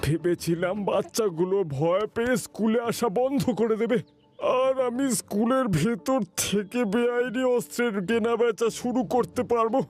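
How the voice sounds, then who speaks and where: A man's voice: extremely high pitch, normal speed, darkish tone, faint music behind. A man voicing a bitter, scheming villain in a children's cartoon, with a theatrical delivery. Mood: defeated, rueful. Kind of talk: dubbed children's cartoon acting.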